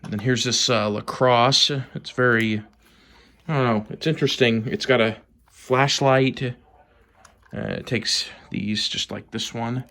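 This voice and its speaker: Casual, low-key American man showing a collection. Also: steady tone, unhurried, a bit bright. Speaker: hobbyist vlogger showing a collection. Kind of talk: casual show-and-tell.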